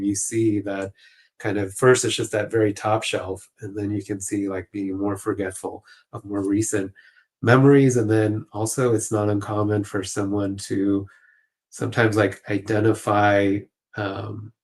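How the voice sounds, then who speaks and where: A man's voice: lowish voice, darkish tone. A man speaking as a guest expert in an interview, explaining informally and conversationally with frequent casual asides.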